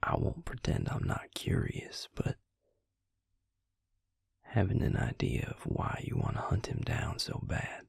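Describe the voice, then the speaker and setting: A man playing a protective, devoted vampire lover in an intimate romantic audio roleplay, speaking gently and unhurriedly in a husky, breathy near-whisper. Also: extremely low pitch, dry recording, clean studio sound, exaggerated voice. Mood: earnest, reassuring.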